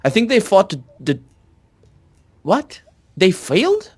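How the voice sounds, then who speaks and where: A man's voice: sits high, wildly swooping pitch, takes it slowly, husky. A man chatting casually as a co-op gamer, sometimes reading game text aloud. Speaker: gamer, co-op let's play commentator.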